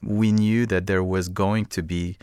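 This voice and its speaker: Very deep, even-toned man from Egypt. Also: clean tone, no room sound, studio quality. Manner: thoughtful, measured, frequent fillers. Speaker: guest, music project co-founder. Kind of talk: interview answers.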